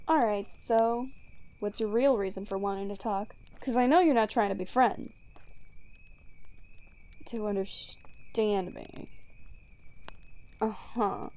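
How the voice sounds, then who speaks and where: Woman acting a smug, mellow-voiced female supervillain. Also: medium pitch, slow pace, pauses often, natural voice. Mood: taunting, incredulous. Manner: teasing, drawling, sardonic, casually profane. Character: smug, sarcastic female supervillain taunting a hero. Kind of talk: ASMR roleplay audio drama monologue.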